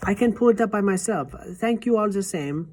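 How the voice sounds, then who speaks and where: A woman's voice: mid-range voice, normal speed, voice pushed up, natural voice. A woman doing a cool, loving old grandmother. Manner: calm, cool, affectionate.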